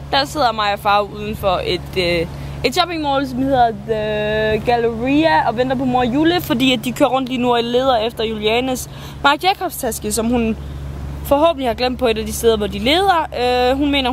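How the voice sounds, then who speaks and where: A woman's voice: fairly high pitch, expressive. A teenage girl from Jutland chatting casually into a handheld camera, rambling with lots of fillers. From Jutland, Denmark. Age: teens. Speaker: vlogger. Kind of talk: casual travel vlog chat. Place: car, shops and outdoors by a mall. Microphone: handheld camera at arm's length. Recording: road and street noise behind.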